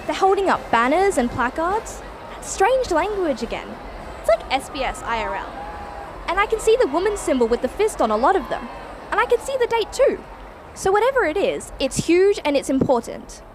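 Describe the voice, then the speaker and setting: Radio play lead: curious, cheeky young Australian, lively swooping delivery, some ambient noise behind. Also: female voice, very high voice, moderate pace. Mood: amazed, excited. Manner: chatty, wide-eyed, informal. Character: Alex, curious, cheeky young nonbinary Australian time traveller. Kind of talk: radio play acting, breathless first-person narration.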